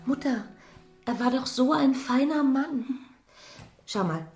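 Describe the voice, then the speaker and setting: High-voiced, earnest daughter. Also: female voice, lively intonation, takes it slowly, reverberant, over music, full character voice. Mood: tender, disbelieving. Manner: earnest, incredulous. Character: disbelieving, earnest grown daughter of a landlady. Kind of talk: radio drama acting.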